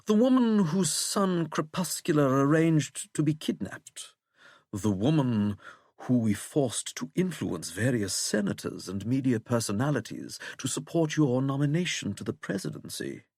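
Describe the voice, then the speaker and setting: A man's voice: medium pitch, expressive, a little slow, darkish tone, no echo, studio quality, pitched above the performer's own voice. A man voicing a suave, menacing English wizard in an audiobook, with a plummy accent and a languid, mocking delivery. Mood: quietly threatening. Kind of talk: audiobook character acting.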